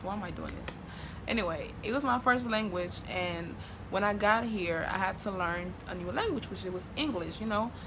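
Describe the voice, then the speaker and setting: A woman's voice: medium pitch, expressive, normal speed. Young woman, Honduran Spanish accent, chatty vlog talk, mid-range voice, noisy background. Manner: chatty, with many fillers and restarts. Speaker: vlogger. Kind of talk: casual talk to camera.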